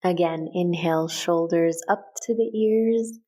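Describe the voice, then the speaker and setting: A woman leading a guided meditation in a calm, gentle, unhurried way with short measured phrases. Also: fairly low pitch. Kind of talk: guided meditation, soft instructional narration.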